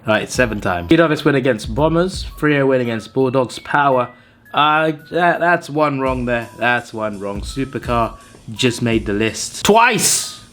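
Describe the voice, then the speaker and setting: A hoarse man who is under the weather, hosting a channel and tallying wrong viewer tips with mock exasperation. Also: mid-range voice, expressive, measured pace, fairly bright tone, faint music behind. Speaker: channel host. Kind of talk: casual commentary reading out viewer tips.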